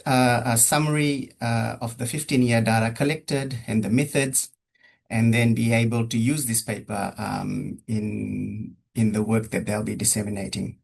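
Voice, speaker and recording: A man's voice: medium pitch, gravelly. A man with a New Zealand accent presenting a research data workshop over a webinar, hesitating often with ums and uhs.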